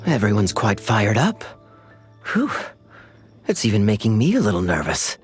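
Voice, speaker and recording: An actor playing a suave, crafty young knight in a video game, smooth, teasing and unhurried. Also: male voice, fairly low pitch, wildly swooping pitch. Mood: light, amused. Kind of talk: animated game voice acting. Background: faint music.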